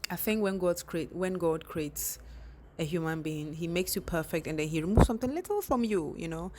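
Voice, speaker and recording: A young woman talks candidly to the camera in a personal vlog. Her voice sits slightly low. She is reflective and now and then stammers or restarts a phrase, with a faint background hum. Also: lively intonation, a little fast, dark tone.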